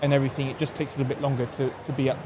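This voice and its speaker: A young man in his twenties, with a mid-range voice, hosts a podcast in an easygoing, meandering way. He pauses often with fillers. Clear city traffic noise runs behind him in a glass-walled room. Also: medium pitch, level tone. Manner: laid-back, rambling, many fillers. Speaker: podcast host. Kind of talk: casual podcast chat. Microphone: desk mic with headphones.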